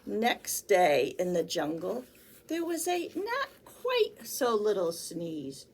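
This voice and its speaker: A woman's voice: medium pitch, huge pitch swings, slow pace, darkish tone, slight background noise. A woman reading a children's picture book aloud as a storytime librarian, with theatrical, sing-song emphasis. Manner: theatrical, sing-song emphasis with acted animal sounds and sneezes.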